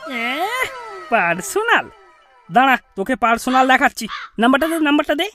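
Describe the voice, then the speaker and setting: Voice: high voice, sing-song, brisk. A performer voicing a brash, scheming young male prankster in a comedy cartoon, bossy, teasing and slangy.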